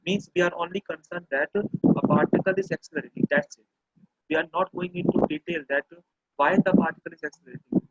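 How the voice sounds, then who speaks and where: A man with a high, gravelly and husky voice teaches physics over an online class. He keeps repeating himself and saying "okay" as he explains. The sound is dry, muffled and lo-fi, like a call. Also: dry recording. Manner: repetitive, restarts phrases, checks in with "okay". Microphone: computer or phone mic over internet call.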